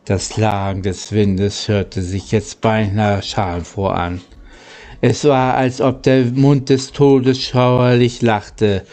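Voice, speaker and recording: A man narrating a children's story from a script, in a halting way that stumbles over words. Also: fairly low pitch, flat delivery, darkish tone. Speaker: audiobook narrator.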